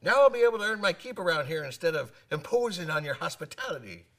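An actor playing a hearty, boisterous old sailor with a salty manner in a radio drama. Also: male voice, a little high, sing-song, fast, gravelly, a bit bright, exaggerated voice.

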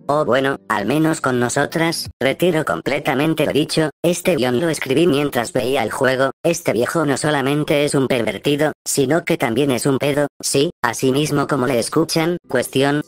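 A man narrating a scripted, comedic recap of a video game as a voice-over, full of sarcastic asides. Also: medium pitch.

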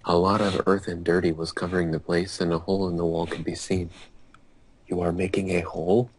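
Young man, deep voice, halting amateur story reader, computer mic on a group call with faint noise and clicks. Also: level tone, poor audio. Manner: halting, stumbling over odd wording. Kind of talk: amateur dramatic reading aloud among friends. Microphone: computer mic on a group internet call. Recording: hissy online group call, mouse clicks.

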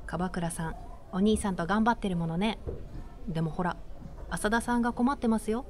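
A woman's voice: medium pitch, moderate pace, a little mellow, slight background noise, natural voice. Woman as a firm primary school teacher. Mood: firm, encouraging. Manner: firm, instructive, polite. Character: strict but encouraging female primary school teacher. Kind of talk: voice drama acting.

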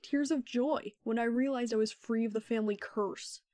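A woman playing a sarcastic, laid-back young man with a deadpan, wry manner in a fan fiction podcast. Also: fairly high pitch, normal speed, dry recording, studio quality, lightly acted.

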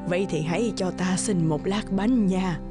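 A woman's voice: fairly low pitch, moderate pace, over music, natural voice. A woman as a kindly, mysterious old woman. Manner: gentle, reassuring. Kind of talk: animated fairy tale dialogue.

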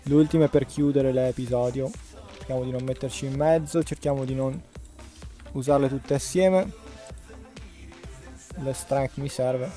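A man's voice: mid-range voice, fairly even intonation, unhurried, pauses often, dark tone. A man with an Italian accent giving casual gameplay commentary while playing with friends. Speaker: gaming YouTuber. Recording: faint music behind.

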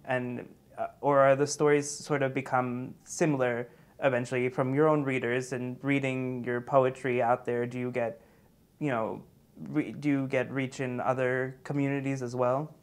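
A man's voice: mid-range voice, little pitch movement, normal speed, clear, resonant tone. A man in his thirties interviewing a guest in a studio, rephrasing his long questions as he asks them. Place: dark TV studio, seated.

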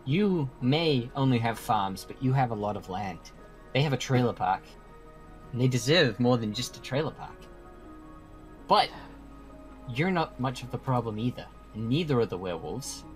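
A man playing an earnest, stern mortal peacemaker in an amateur game roleplay, with measured, emphatic phrasing. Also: mid-range voice, expressive, close to an everyday voice. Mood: firm, reasoning. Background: music.

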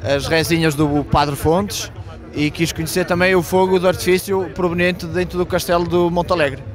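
A man's voice: high voice, fairly even intonation, moderate pace. A man with a European Portuguese accent answering a street interview in formal, wordy phrases. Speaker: festival visitor being interviewed. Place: outdoors at night at a street festival. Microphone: handheld reporter microphone.

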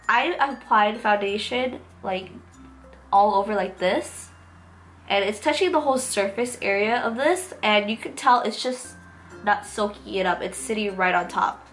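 A woman in her twenties with a nasal, congested voice from a cold, giving an enthusiastic, casual makeup review as a beauty vlogger. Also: medium pitch. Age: 20s. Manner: enthusiastic, gushing. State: getting over a cold. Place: small room at home, indoors. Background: background music.

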